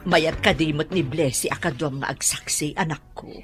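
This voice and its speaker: Radio drama mother woman performer: anxious, prayerful, gentle, low register, Filipino accent. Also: moderate pace, music in the background, lightly acted. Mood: worried, tender. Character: anxious, devout older mother cautioning her daughter.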